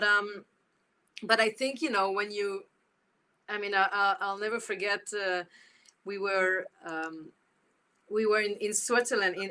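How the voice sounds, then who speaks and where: A woman with a strong Israeli accent talks in a bright, clear tone as an interview guest. She speaks loosely, repeating words and restarting her phrases while searching for what she means. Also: mid-range voice, low-quality recording. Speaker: guest musician. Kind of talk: casual interview talk.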